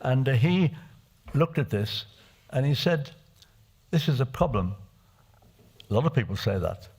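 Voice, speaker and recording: An older man from Czechoslovakia, a native Czech speaker, giving a reflective speech as a parliamentarian at a desk microphone in an assembly chamber, halting and restarting often. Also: mid-range voice, big pitch swings, a little mellow, husky. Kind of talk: personal testimony speech.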